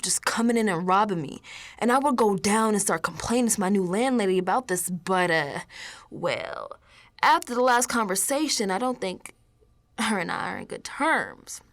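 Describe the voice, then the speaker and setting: Radio drama lead: actress as young woman, unraveling yet defiant, slow colloquial delivery with wide swings in pitch. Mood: troubled, wary. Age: young adult. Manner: colloquial, halting, slow, drifting into hushed tones. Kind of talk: dramatic first-person monologue, radio drama.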